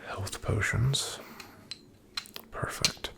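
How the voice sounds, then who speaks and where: A man in an ASMR roleplay voicing a calm, careful paladin travel companion, softly whispering to the listener. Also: very slow, slight background noise. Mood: calm hushed. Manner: soft, gentle whispering to the listener.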